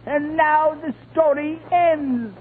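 An actor playing a scheming, gloating old enchanter in a 1950 radio drama, with theatrical, drawn-out delivery. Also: male voice, extremely high pitch, steady tone. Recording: tinny, noisy 1950 radio broadcast.

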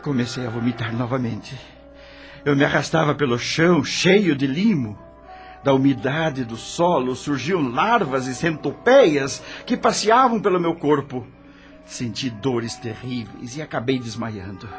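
A man's voice: medium pitch, a little slow, soft background music, close to an everyday voice. An actor playing an anguished dead rock star who narrates his own suffering dramatically in a radio drama.

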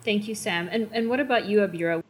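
A woman moderating a panel and asking the guests questions in a measured, polite way. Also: medium pitch. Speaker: interviewer, moderator.

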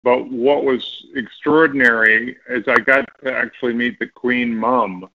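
Middle-aged male guest, relaxed anecdotal delivery, muffled lo-fi sound. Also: medium pitch, a little slow. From United States. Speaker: guest actor. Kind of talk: casual interview chat.

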